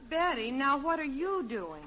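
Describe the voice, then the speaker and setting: A woman's voice: high-pitched, very animated, a little slow, a crowd in the background. An actress playing a long-suffering, sensible American wife and mother in a 1950s radio sitcom, scolding but patient. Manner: exasperated but patient, clearly enunciated. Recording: muffled, hissy old radio recording.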